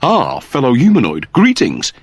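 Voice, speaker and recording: Sci-fi audiobook android, now human: prim, earnest, wordy, wildly animated pitch, very dry close sound. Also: male voice, moderate pace, slightly rough, no room sound, full character voice. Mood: delighted. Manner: prim, wordy, over-polite. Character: eager, fussy android newly turned human, male, naively earnest.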